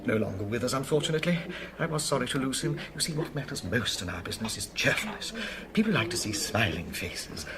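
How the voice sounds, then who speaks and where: An actor playing a genial, obliging restaurant proprietor, courteous and chatty in a radio drama. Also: male voice, medium pitch, sing-song, quick pace. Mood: fond, chatty. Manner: effusive, courteous, chuckling. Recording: busy crowd chatter behind.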